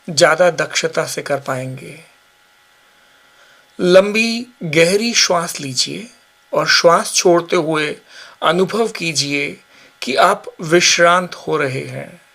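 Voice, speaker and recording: A man guiding a yoga nidra relaxation session with slow, calm, measured instructions. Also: a little high, vocal fry. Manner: slow, calm, measured guidance with long pauses. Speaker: yoga teacher.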